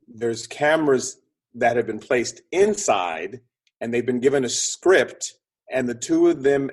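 A middle-aged or older man giving casual interview answers as a guest, chatty and explanatory, thinking aloud as he goes. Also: medium pitch. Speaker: podcast guest, film producer and script consultant.

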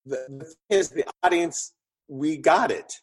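A man speaking as an expert interview guest, explaining conversationally in an animated way with short punchy phrases and a slightly husky voice. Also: fairly high pitch, a little slow, low-quality recording. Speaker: interview guest, screenwriting consultant and producer.

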